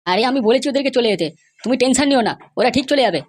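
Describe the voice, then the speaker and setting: Woman doing an evasive, fast-talking cartoon schoolmaster. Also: sits high, lively intonation. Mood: placating, evasive. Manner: placating, repetitive. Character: evasive, scheming male schoolmaster and family man. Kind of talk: comedy cartoon dialogue.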